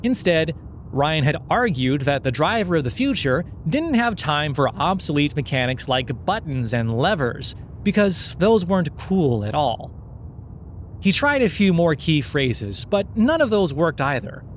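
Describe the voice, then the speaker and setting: Male narrator for satirical audio fiction, fairly high voice, sardonic and mock-earnest, faint vehicle ambience behind. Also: sing-song, measured pace, a little hiss or hum.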